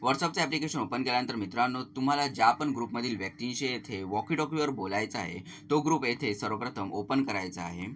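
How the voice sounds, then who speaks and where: A man's voice: mid-range voice, brisk, hardly any pauses, fairly bright tone. A man narrates a step-by-step tech tutorial as a channel host, explaining things to viewers as friends. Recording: clearly noisy voice-over recording.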